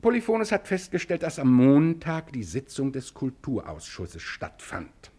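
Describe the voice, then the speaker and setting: An actor playing a pompous, accusing courtroom advocate in a 1950s German radio play, with rhetorical, drawn-out emphasis. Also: male voice, medium pitch, wildly swooping pitch, mellow. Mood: insinuating, grandiose.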